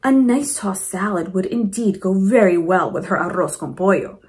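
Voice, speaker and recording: A woman reading a storybook aloud to children in an expressive way, with chatty asides to her young listeners. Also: mid-range voice, normal speed. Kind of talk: animated children's read-aloud.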